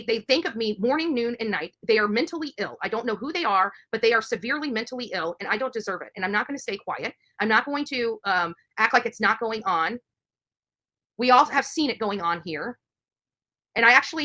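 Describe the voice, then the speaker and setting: A woman's voice: a little high, expressive, fast talker, bright, forceful tone. A woman live streamer ranting emphatically and indignantly, repeating herself for emphasis. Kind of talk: impassioned live stream rant.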